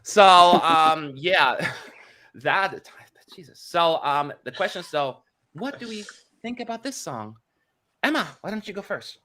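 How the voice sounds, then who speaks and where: A chatty man hosts a panel on a video call, his voice bright and full of lively rises and falls. He talks loosely, with plenty of fillers and "y'all", into a mic at home. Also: fairly high pitch, unhurried. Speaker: host. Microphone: studio mic on a video call.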